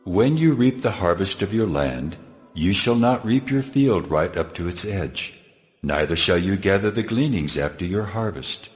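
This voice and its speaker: A man playing God in a dramatized scripture reading, solemn and deliberate as he declares laws. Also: deep voice, lively intonation. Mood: solemn, commanding. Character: God, solemn commanding male deity giving laws. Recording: long cathedral-like reverb, music underneath.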